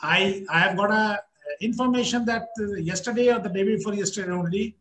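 High-pitched middle-aged Indian man. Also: a little fast, a bit bright. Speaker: government policy official, panelist. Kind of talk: panel discussion on a video call. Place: small office, indoors. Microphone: video call, computer mic at desk.

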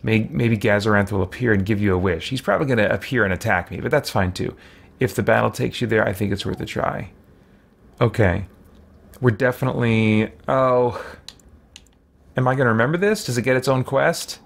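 A man's voice: fairly low pitch, fairly quick, gravelly. A man in his forties giving casual gameplay commentary as a streamer, musing and hesitant as he thinks aloud. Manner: hesitant, musing, talking to himself. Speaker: game streamer. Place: small indoor room at home with shelves.

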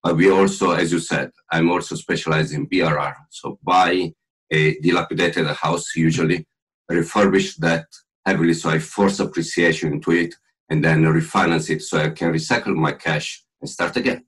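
A man's voice: low voice, steady tone, a bit bright, husky. A middle-aged Italian man with an Italian accent, chatting casually as a podcast guest over a video call from a room at home. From Italy. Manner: jokey, chatty, with filler pauses. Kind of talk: casual interview talk.

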